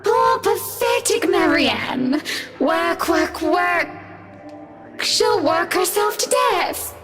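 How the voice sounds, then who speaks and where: Venomous female apparition, horror visual novel, high-pitched, slow drawn-out taunts, mocking and cruel. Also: lively intonation, over music, exaggerated voice. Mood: scornful.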